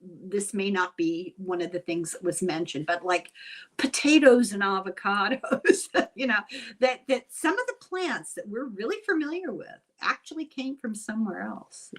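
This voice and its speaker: A woman's voice: mid-range voice, animated, normal speed. An older woman hosting a casual interview, asking inquisitive questions and wandering into asides as she talks. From Ireland. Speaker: host and interviewer.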